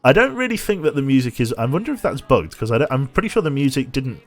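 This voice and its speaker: A man streaming a game with casual commentary, a little tired, his voice slightly nasal and a bit raspy, chatty as he thinks aloud and thanks viewers. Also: mid-range voice, very animated, fast, faint music behind.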